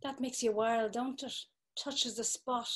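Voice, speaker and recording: An actress playing a domineering brothel madam, commanding and mocking, in a dramatic reading over a video call. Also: female voice, on the high side, steady tone, a little slow, natural voice. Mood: taunting. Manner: commanding, taunting. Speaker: stage actor.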